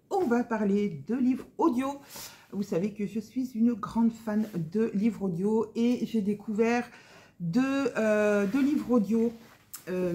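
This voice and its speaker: Woman, mid-pitched, warm dark tone, casual reviewer, enthusiastic, emphatic, hesitant phrasing. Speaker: book reviewer. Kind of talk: casual vlog-style audiobook review.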